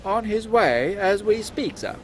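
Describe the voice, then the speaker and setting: A man's voice: high-pitched, animated, unhurried, a little hiss or hum. A man playing an officious Victorian London policeman in a comic audio drama, matter-of-fact and dryly grim. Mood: businesslike.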